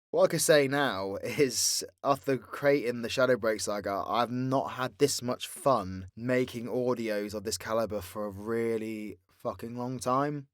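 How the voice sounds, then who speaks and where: A soft-spoken British man, an audio roleplay creator, talking candidly and casually to his listeners in an unhurried way. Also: mid-range voice, no room sound, clean studio sound. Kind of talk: casual, confessional talk to listeners.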